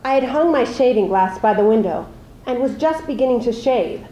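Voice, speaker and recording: A teenage girl, a high school student, gives a deliberate, suspenseful dramatic reading of prose. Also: lively intonation, warm tone, highs cut off. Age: teens. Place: live school showcase before an audience. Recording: echoey live room recording.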